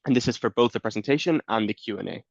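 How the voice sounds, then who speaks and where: A man's voice: mid-range voice, very fast, no echo. A man running an online student competition on a video call, announcing rules in a businesslike way. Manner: businesslike and clear. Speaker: competition organiser. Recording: dull dry video call audio.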